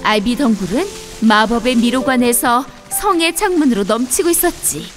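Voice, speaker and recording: A woman narrating a scripted children's fairy tale in an expressive storybook manner. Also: on the high side, moderate pace, faint music behind.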